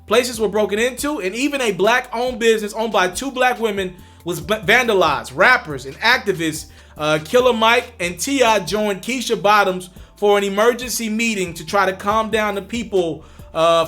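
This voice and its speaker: High, bright-voiced young man ranting. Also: moderate pace, soft background music. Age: young adult. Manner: emphatic, addresses viewers directly, repeats for stress. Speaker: commentary channel host. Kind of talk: direct-to-camera commentary.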